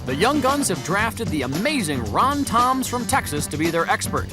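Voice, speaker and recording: A woman's voice: medium pitch, very animated, normal speed. A woman giving scripted narration for a competition show in an upbeat, punchy way. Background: music.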